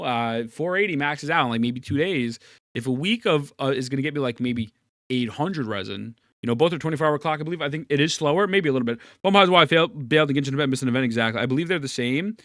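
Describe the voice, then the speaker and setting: A man's voice: medium pitch, a bit bright, no room sound, studio quality. A man streaming live, reacting to a video and chatting casually with his viewers, rambling and putting himself down while greeting chat. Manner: rambling, self-deprecating, greets chat often.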